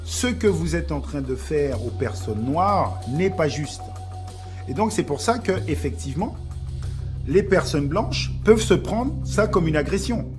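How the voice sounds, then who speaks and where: A man in an interview, explaining his point in an animated way. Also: on the high side, unhurried, soft background music.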